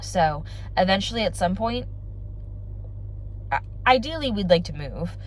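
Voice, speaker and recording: A woman's voice: mid-range voice, lively intonation, a little slow, a little hiss or hum, low-quality recording. A young woman with a congested voice, chatting casually and rambling to her vlog audience. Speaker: family vlogger. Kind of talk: casual chat to camera.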